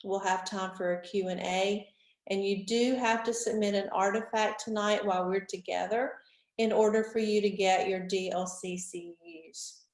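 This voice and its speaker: A woman's voice: medium pitch, moderate pace. Stuffy-nosed woman leading a teacher webinar. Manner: organized, instructive, addresses teachers directly. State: stuffy from a cold. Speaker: instructional technology facilitator. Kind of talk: online training webinar. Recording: roomy echo.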